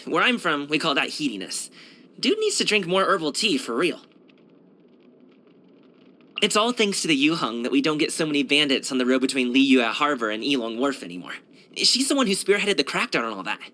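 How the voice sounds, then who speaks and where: A man's voice: fairly high pitch, big pitch swings, fairly quick, pushed and bright. A man voicing an upbeat young kung fu street dancer and courier in a video game, breezy, slangy and chatty. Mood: chatty, cheerful. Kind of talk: video game character voice lines.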